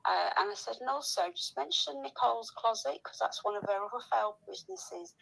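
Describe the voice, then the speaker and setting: A woman's voice: fairly high pitch, expressive, brisk, creaky, a bit bright, poor audio. A woman talking casually, recounting gossip in a sweary, complaining manner.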